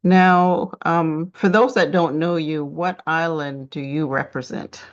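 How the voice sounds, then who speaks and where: A woman's voice: deep voice, steady tone, slow. A woman from the Caribbean hosting an interview show, asking her guest questions in a conversational way. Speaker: host. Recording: dull, lo-fi sound.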